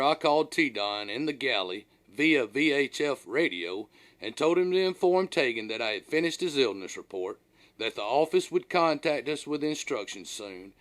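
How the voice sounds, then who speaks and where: Man narrating with very animated, swooping pitch. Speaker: author reading own audiobook. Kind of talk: scripted first-person storytelling narration.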